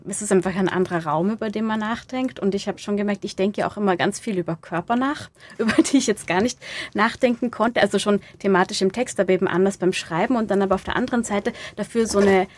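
A woman speaking as an interviewed playwright, casual and thoughtful, linking loose sentences and rewording as she goes. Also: medium pitch. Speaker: playwright interviewed about her radio play. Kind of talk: casual reflective interview talk.

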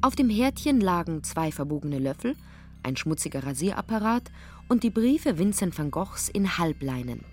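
Low-voiced female literary narrator. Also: big pitch swings, moderate pace, darkish tone, faint music behind. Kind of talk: literary narration in a radio drama.

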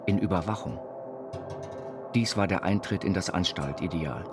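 A man playing an earnest, dreamy inventor confined to an institution, reading his writings in a deliberate, formal way. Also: low-pitched, close to an everyday voice. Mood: solemn recollection. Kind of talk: scripted literary reading over music. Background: music.

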